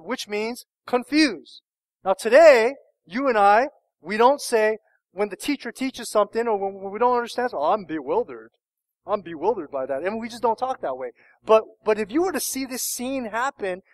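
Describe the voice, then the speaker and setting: A man in his thirties with an American accent, a pastor preaching a lively sermon from a church stage with conversational asides and jokes. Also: very high voice, no echo, low-quality recording. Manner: animated preaching with wide hand gestures; conversational, jokey asides with audience. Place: church sanctuary stage at a wooden lectern.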